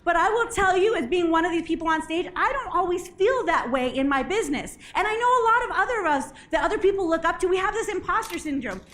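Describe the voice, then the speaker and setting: A woman speaking on a conference stage, giving an animated, jokey motivational talk with a slightly hoarse voice and frequent questions to the audience. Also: very high voice, quick pace, few pauses. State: a bit hoarse, clears throat.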